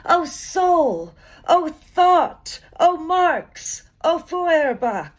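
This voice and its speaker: Slow, dramatic woman reciting poetry. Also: extremely high pitch. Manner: measured, expressive delivery with deliberate pauses. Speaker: poetry reader.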